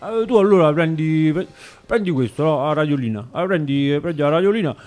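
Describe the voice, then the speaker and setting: A man's voice: a little high, lively intonation, brisk, darkish tone, faint music behind, close to an everyday voice. A man playing a half-mad Roman street vagrant in a radio sketch, begging and rambling with stammered, repeated phrases. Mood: rambling, eager. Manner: rambling, repetitive, stammering restarts.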